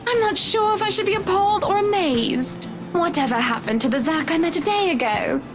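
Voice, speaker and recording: A woman's voice: very high voice, lively intonation, fairly quick. An actress playing a playful, genteel married woman with arch, formal phrasing, in visual novel game dialogue. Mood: playful, teasing. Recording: music behind the voice.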